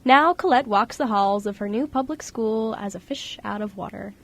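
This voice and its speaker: A woman's voice: mid-range voice, moderate pace, creaky. A woman reading scripted story narration as narrator of an amateur radio play. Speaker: radio show co-host and narrator.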